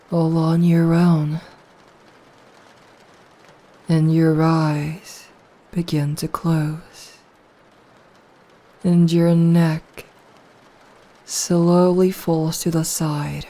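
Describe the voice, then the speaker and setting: A dominant, predatory vampire woman, acted by a woman in an ASMR roleplay. She speaks extremely slowly in a mellow, dark tone, hushed and hypnotic. Her pitch barely moves, keeping every phrase controlled and possessive. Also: full character voice. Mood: hypnotic, coaxing. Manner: slow, hushed, deliberate, hypnotic. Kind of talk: slow, intimate ASMR roleplay.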